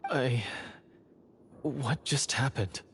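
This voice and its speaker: Slow, overwrought villain. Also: medium pitch, a little hiss or hum. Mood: dazed. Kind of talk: video game voice acting.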